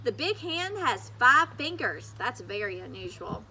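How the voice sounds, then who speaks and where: Storybook teacher, a kindly man read by a woman: patient, measured, encouraging, with a bright, crisp edge. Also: fairly high pitch, very animated, slight background noise, exaggerated voice, low-quality recording. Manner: measured, encouraging, addressing children. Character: kindly, patient male first-grade teacher. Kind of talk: acted children's storybook read-aloud.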